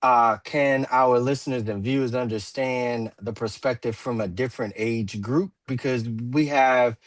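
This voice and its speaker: A man in his forties hosting a roundtable talk show, speaking in a measured way with many fillers and restarts and the odd throat clear. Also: medium pitch, fairly even intonation, no echo. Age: 40s. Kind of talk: roundtable discussion.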